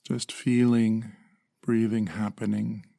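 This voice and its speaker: Calm, low, creaky-voiced man guiding meditation. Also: no echo, studio quality. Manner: calm, measured, with long pauses. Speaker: meditation guide, therapist. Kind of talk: guided meditation.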